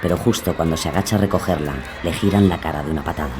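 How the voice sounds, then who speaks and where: A man's voice: low voice, racing, over loud music. A man narrating a scripted, dramatised true-crime story.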